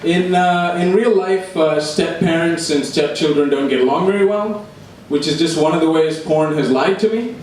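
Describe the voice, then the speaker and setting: A young man performing live stand-up comedy with a deadpan delivery, recorded in a room. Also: on the high side, normal speed, hardly any pauses. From immigrated to Australia at age eleven. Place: indoor comedy room before a live audience. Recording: echoey, noisy room recorded from far off.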